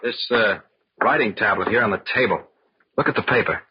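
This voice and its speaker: An actor playing an observant, earnest FBI agent in a 1940s radio crime drama, with a raspy, matter-of-fact voice. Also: male voice, fairly low pitch, fairly quick, no echo. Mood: alert. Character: observant, steady male FBI agent, junior partner. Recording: muffled, dry old radio recording.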